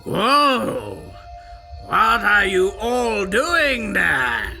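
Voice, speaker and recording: A sly old storyteller tiger, voiced by a performer, rasps out the tale slowly, his tone rising and falling a lot. The voice is rough and gravelly, with music playing under it throughout. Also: medium pitch, very animated, full character voice. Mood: puzzled. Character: boastful tiger narrating his own tale. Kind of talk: scripted audio storybook narration.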